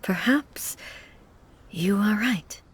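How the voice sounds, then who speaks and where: Formal, composed ruler, mid-pitched. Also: female voice, noisy background. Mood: gentle. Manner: formal, measured, gracious. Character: composed, dignified female ruler, gracious to retainers. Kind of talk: video game voice acting.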